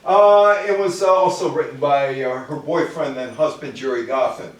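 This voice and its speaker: A man's voice: on the high side, normal speed. An older man with an American accent giving a conversational public talk, reading from notes and quizzing his listeners with asides. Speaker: lecturer. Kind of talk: public talk to a live audience, part read from notes, with questions to listeners. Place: indoor room with live audience. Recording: very echoey room, distant microphone.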